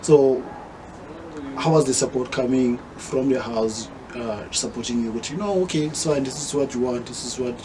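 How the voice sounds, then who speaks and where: A man's voice: medium pitch, moderate pace, noisy background. A South African man hosting a casual podcast interview, chiming in often and mixing English with Zulu. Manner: relaxed, chimes in a lot, mixes English with Zulu. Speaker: podcast host.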